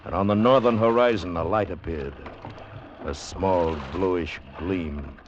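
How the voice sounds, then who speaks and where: Deep, solemn radio storyteller. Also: male voice, background noise. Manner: solemn, deliberate. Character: grave male storyteller of a sea ordeal. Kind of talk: scripted literary narration, 1940s-50s radio. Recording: dull old radio recording. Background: music.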